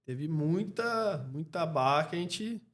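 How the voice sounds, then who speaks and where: A Brazilian man speaking as a podcast guest in a casual interview, reflective and halting, often checking in with short tag questions. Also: on the high side, expressive, fairly bright tone. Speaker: podcast guest, clothing store co-founder.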